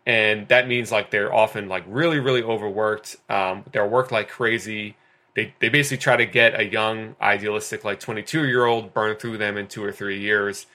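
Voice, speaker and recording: A man's voice: fairly low pitch, pushed and bright. A man talking earnestly about working as a teacher, with many fillers and restarts. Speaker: guest teacher. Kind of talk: conversational discussion.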